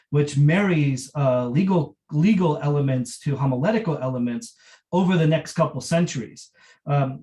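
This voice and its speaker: A man lectures on history without a script. His voice sits a little high for a man, with a mellow, rounded tone. He speaks haltingly, circling back and repeating phrases as he looks for the right word. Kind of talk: unscripted academic lecture.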